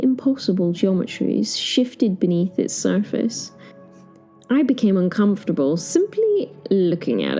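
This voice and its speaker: Actress as flustered woman professor, animated storytelling, mellow tone, timid wry asides, music underneath. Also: a little high. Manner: expressive, hesitant, wry asides. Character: flustered, timid female professor narrating her ordeal. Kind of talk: first-person dramatic storytelling.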